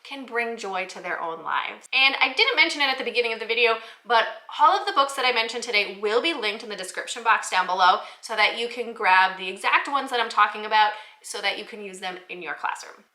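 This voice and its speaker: Bright, high-voiced woman presenting, roomy sound. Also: brisk, hardly any pauses. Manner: enthusiastic, explanatory, addresses viewers directly. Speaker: host of a children's book review channel. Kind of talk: upbeat scripted vlog presentation to teachers.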